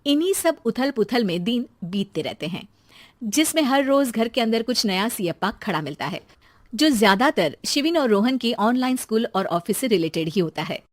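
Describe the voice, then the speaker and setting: High-voiced, animated woman storyteller. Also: moderate pace, no echo. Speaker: story narrator. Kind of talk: scripted cartoon story narration.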